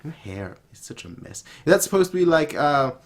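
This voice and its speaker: A man's voice: a little high, sing-song, a little fast. A man reacting casually as a video host, rambling, repeating his phrases and exclaiming.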